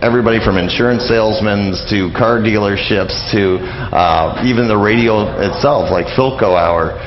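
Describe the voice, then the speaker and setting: A man being interviewed on the radio, explaining and reminiscing informally in a halting, anecdotal way. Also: fairly low pitch, noisy background. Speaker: radio interview guest on old-time radio history. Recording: dull lo-fi radio, roomy, crowd behind.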